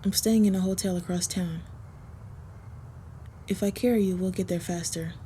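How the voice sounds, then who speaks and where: A woman playing a protective, smitten female vampire in an intimate roleplay audio, speaking softly, gently and a little hesitantly. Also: on the low side, steady tone, normal speed, a little hiss or hum, close to an everyday voice. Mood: gentle, awkward.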